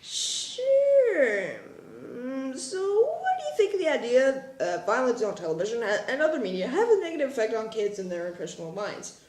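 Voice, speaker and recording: A man's voice: very high voice, wildly swooping pitch, normal speed, reverberant, full character voice, low-quality recording. A man voicing a perky, nervous young woman taking a survey in a comic dub. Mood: curious.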